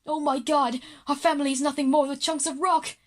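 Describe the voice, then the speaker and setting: A woman's voice: high-pitched, level tone, fairly quick, pitched above the performer's own voice, close to an everyday voice. A woman voicing a self-pitying, melodramatic schoolgirl in a children's audio drama, wailing and regretful. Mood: distraught.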